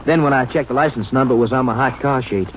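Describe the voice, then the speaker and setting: Male actor as eager highway patrolman, medium pitch, very quick and factual, 1950s radio play with faint noise. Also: close to an everyday voice. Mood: keen, reporting. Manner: brisk, factual. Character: eager, straightforward highway patrolman reporting a find. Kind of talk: 1950s radio crime drama acting. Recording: muffled, noisy 1950s radio recording.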